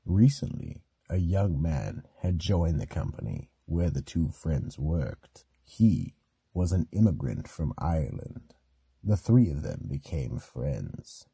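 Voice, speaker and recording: Very deep, gravelly male narrator. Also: dark tone, dry recording. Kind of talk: scripted mystery radio narration.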